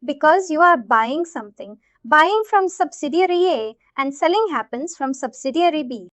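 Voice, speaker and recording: A woman's voice: sits high, expressive, moderate pace, a bit bright, no room sound. A woman trainer explaining a concept to learners step by step, repeating herself and checking that they follow. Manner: repetitive, checks understanding often. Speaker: software trainer.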